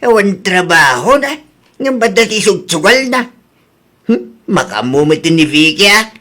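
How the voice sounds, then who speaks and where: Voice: medium pitch, very animated, measured pace, sharp tone, exaggerated voice. Someone playing a grumbling old father. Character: older father, grumbling about his family. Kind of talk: radio drama acting.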